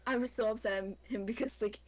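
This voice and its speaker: A woman's voice: fairly high pitch, fairly even intonation, fast talker, a little mellow. A woman guest on a game stream, chatting casually and somewhat hesitantly. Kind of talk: casual chat about gaming.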